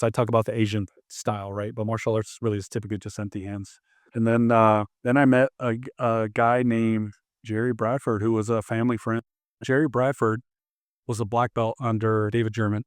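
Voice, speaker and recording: A man's voice: lowish voice, flat delivery, a little fast, no echo, studio quality. A man in his fifties, born in Tonga and raised in Los Angeles, telling stories as a martial arts instructor in a casual interview, rambling with many restarts and fillers.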